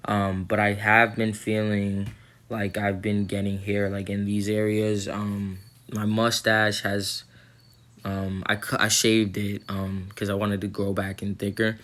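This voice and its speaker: A man's voice: on the low side, completely flat delivery, unhurried, a bit bright. A young man recording a casual vlog update, rambling with lots of ums and likes, his throat a little sore. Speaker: vlogger.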